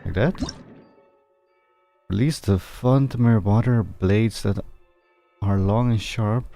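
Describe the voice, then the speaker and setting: A man's voice: on the low side, slow pace, gravelly, dark tone, no room sound. A man streaming a video game, giving casual commentary and reading bits aloud. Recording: game music behind the voice. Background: game music.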